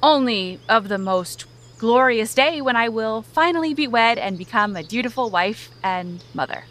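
Woman acting demure young Puritan maiden, bright highish voice, prim, evasive, comedic audio drama. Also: lively intonation, normal speed. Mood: polite.

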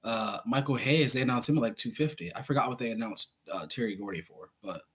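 A man co-hosting a podcast, chatting in a relaxed way, often agreeing and swearing casually. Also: medium pitch, fast talker. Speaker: podcast co-host. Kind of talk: casual chat.

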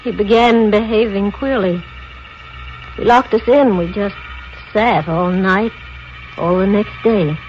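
An actress playing a strained, frightened young wife in a 1940s radio drama. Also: female voice, mid-range voice, slow pace, pauses often, a little hiss or hum, close to an everyday voice. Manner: quiet, short, strained answers. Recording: lo-fi old radio recording.

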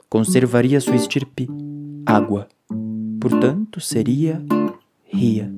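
A man reciting a poetic scripted monologue in a measured, literary way. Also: fairly low pitch. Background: acoustic guitar music.

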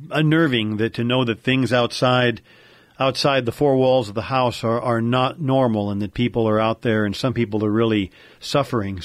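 A man's voice: medium pitch, no room sound. American guy in his 50s, mid-range voice, co-hosting a talk show, chatty, dry home studio sound. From United States. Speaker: radio show co-host. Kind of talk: conversational talk show chat.

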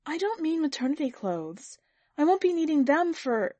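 Audiobook wife, voiced by a woman narrator: higher-pitched, put-upon, earnest, pleading, recorded close and dry. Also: sing-song, normal speed, warm tone, no room sound. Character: put-upon pregnant young wife, pleading, rising to anger. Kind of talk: dramatic audiobook character reading.

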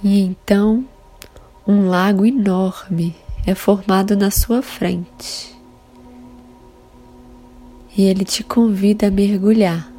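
A Brazilian woman leading a guided meditation in a calm, soothing, measured way with long pauses between phrases. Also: medium pitch. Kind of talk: slow guided meditation narration. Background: soft sustained meditation music.

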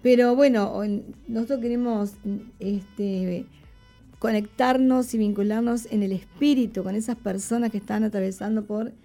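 A woman's voice: a little high, fairly even intonation, moderate pace, mellow, faint music behind. A woman hosting a casual live radio show, chatting conversationally with her co-host and addressing listeners.

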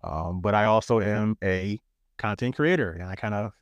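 A man with a New York accent chats casually as a podcast co-host, his voice pitched on the low side. He sounds relaxed and laughs easily. The recording is dry and close to the mic. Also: normal speed, no echo. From Queens, New York. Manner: relaxed, laughs often, restarts words. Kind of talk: casual chat.

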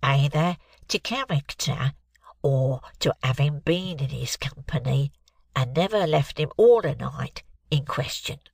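A man voicing a slow-witted, nervous rough fellow in an audiobook, congested as if with a cold, hesitant. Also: on the high side, dry recording, clean studio sound. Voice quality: congested, thick with a head cold.

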